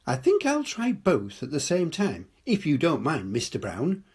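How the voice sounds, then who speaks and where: An older man voicing a small, polite male bear in a storybook reading, with a husky voice. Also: on the high side, huge pitch swings, measured pace, heavily acted.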